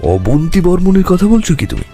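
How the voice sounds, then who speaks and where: A man playing a calm, observant detective in an audio drama, questioning in a measured, deliberate way. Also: high-pitched, soft background music, exaggerated voice. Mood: firm, curious. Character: calm, probing male detective, sharply observant.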